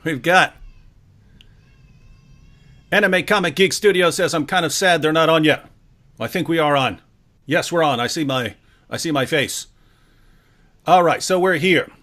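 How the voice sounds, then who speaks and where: A man with a fairly high-pitched voice hosts a livestream interview. His delivery is casual and conversational, with a playful, jokey touch of showmanship. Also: unhurried, long pauses, bright, forceful tone. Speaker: host.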